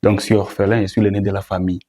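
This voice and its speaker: Young man, Congolese French accent, dark relaxed tone, repetitive phrasing, interview guest. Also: lowish voice, rapid-fire. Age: young adult. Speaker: guest, gospel musician. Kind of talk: interview answers.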